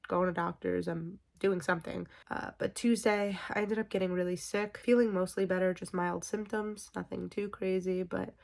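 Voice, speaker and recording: Young woman, congested and slightly raspy, gravelly and monotone, casual vlogger at home. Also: on the low side, moderate pace, hardly any pauses. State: congested and a little raspy from illness. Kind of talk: casual vlog update to camera.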